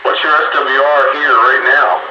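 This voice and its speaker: A man's voice: mid-range voice, fairly even intonation, normal speed. A man in casual technical chat as a radio hobbyist, talking over a two-way radio. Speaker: amateur radio operator. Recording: noisy, muffled, echoey, distant radio transmission.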